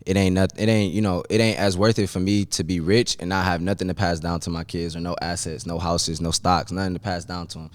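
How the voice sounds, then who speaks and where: A man's voice: deep voice, little pitch movement, a little fast, few pauses, no room sound. A man in his twenties from Cleveland, Ohio, talking casually as a podcast guest in a laid-back, slangy way with frequent ums. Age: 20s. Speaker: podcast guest, business owner. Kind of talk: casual interview chat.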